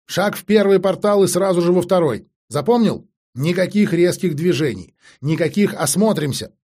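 A haughty young sorceress acted out by a man in a storybook fantasy. The voice is pushed high and forceful as she scolds and orders everyone about with scornful exclamations. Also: moderate pace. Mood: urgent, commanding.

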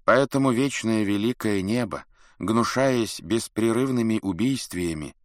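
A man's voice: fairly low pitch, unhurried, no echo, studio quality, pitched above the performer's own voice, natural voice. A man voicing a wise court counsellor in a historical storybook, solemn and self-important, as if reciting a prayer. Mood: solemn, grave.

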